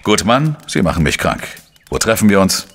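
Very deep-voiced, dogged male sleuth. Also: big pitch swings, moderate pace, fairly bright tone, slightly rough, full character voice. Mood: insistent, wry. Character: dogged, wry male journalist-sleuth narrating his own case. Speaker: lead actor and first-person narrator. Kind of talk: German crime audio drama, narration and dialogue.

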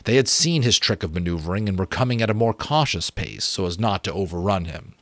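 A man's voice: low voice, brisk, fairly bright tone, no room sound. A man in his thirties reading an adventure novel aloud expressively, with occasional small stumbles, into a close microphone. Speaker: audiobook reader. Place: small home room with bookshelves. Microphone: close desk microphone.

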